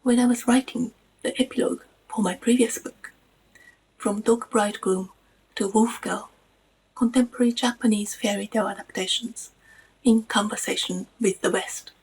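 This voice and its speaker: Female academic editor, very husky and breathy voice, prepared book presentation. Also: medium pitch, fairly even intonation, moderate pace, a little mellow, poor audio.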